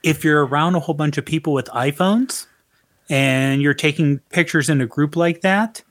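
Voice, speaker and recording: A man's voice: fairly high pitch, moderate pace, fairly bright tone, no echo. A middle-aged man co-hosting a casual podcast chat, rambling a little and correcting himself as he goes. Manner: rambling, self-correcting, chuckles often. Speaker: podcast co-host.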